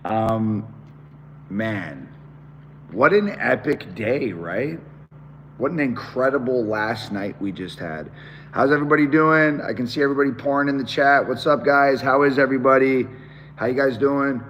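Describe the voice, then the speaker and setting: A man with a hoarse, worn-out voice, chatting excitedly to viewers on a live stream. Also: medium pitch, normal speed, big-room sound, lo-fi sound, slight background noise. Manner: excited, talks straight to viewers. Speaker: musician hosting a live stream. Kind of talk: casual live-stream chat.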